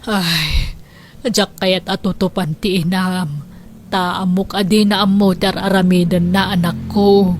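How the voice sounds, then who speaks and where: A woman's voice: fairly low pitch, steady tone, takes it slowly, slight background noise. A woman acting a long-suffering elderly mother and grandmother in a radio drama, tender, pleading and sighing. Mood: weary, pleading.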